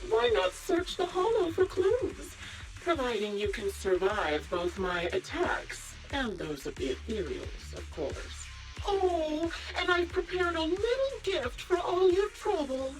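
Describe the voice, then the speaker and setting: A woman playing a taunting, sadistic female sniper villain in a video game, with theatrical, mocking, drawn-out delivery. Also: mid-range voice, sing-song, recorded from a distance, rough recording. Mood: smug taunting. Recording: voice over loud game music.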